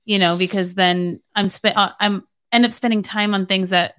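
A woman's voice: mid-range voice, steady tone, normal speed, fairly bright tone. A woman in her late twenties, a singer-songwriter guest, talking casually with lots of fillers. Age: 20s. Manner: chatty, filler-heavy, laughs easily. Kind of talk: casual podcast chat.

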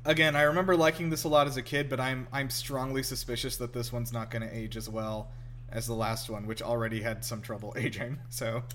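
A man's voice: mid-range voice, level tone, a little fast, a bit bright, slight background noise. A man hosting a casual movie reaction show, chatty, informal and enthusiastic. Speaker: podcast host. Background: film music playing.

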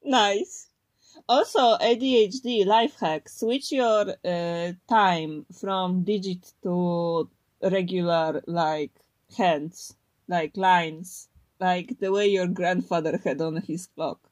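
A woman's voice: on the low side, takes it slowly, a little mellow, no room sound. A woman from Poland, a native Polish speaker, chatting casually and unscripted as a podcast co-host in a slangy, blunt, playful way.

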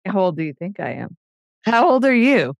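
A woman's voice: medium pitch, sing-song, normal speed, creaky, dry recording. A woman in her forties co-hosting a podcast, speaking emphatically about her profession and laughing easily. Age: 40s. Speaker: podcast co-host. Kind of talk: podcast conversation.

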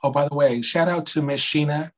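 A man's voice: on the high side, fairly even intonation, fairly quick. A man co-hosting a gossipy chat, speaking casually in blunt, profane, sassy slang. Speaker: podcast co-host. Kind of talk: casual gossipy chat about reality television.

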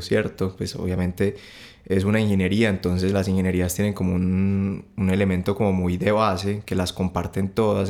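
A young man with a Bogotá Colombian accent, telling his story as a podcast guest in a halting, relaxed way with many restarts. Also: sits low, vocal fry.

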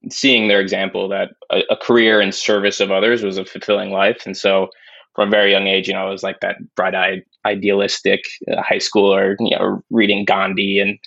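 Young male podcast guest, low gravelly voice, casual and thoughtful, close and dry. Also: monotone, fairly quick, a bit bright, dry recording. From born in Spain, raised in New York. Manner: thoughtful, many restarts and fillers. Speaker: podcast guest, climate investor. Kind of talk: casual interview talk. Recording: dull dry lo-fi sound.

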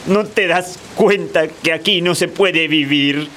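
An actor playing a bitter, fearful older Colombian husband in a radio drama, halting and complaining. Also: male voice, a little high, pushed and bright, a little hiss or hum. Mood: bitter, pleading.

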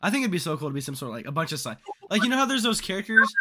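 Young guy, relaxed casual banter, pitch swings a lot, faint hiss behind. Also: very fast. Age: young adult. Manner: laid-back, laughs often, swears casually. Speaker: high school senior. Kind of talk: casual chat among friends.

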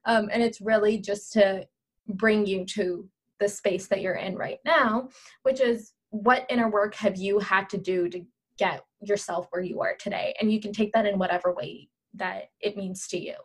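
A woman's voice: mid-range voice, steady tone, normal speed, husky. A woman co-hosting a podcast, talking in a conversational interviewing style. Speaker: podcast co-host.

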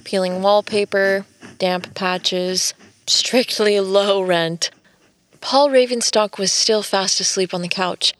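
A woman's voice: medium pitch, steady tone, takes it slowly, no echo, close to an everyday voice. An actress playing a wry, curious American woman, telling her story as conversational first-person audio drama narration. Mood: wry, musing. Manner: wry, musing.

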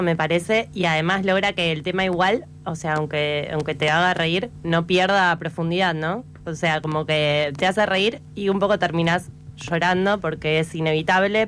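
A woman interviewer asking questions in a relaxed, conversational way on a radio show. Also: lowish voice, slight background noise.

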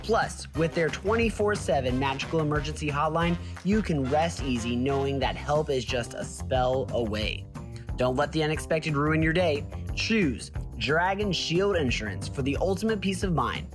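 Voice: medium pitch, expressive, moderate pace, music in the background. Polished scripted advertisement announcer.

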